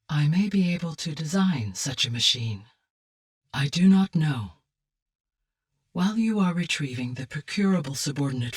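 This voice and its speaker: A woman playing a calm, analytical artificial intelligence in a video game, speaking low and huskily with measured, formal, precise diction. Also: husky, distant mic. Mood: measured, firmer. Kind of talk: scripted video game dialogue.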